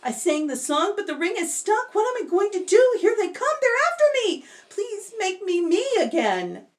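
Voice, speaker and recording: A woman's voice: very high voice, very animated, normal speed, voice pushed up. A woman voicing a vain, clumsy young man who panics easily, in a read-aloud storybook. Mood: pleading. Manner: pleading, breathless cries.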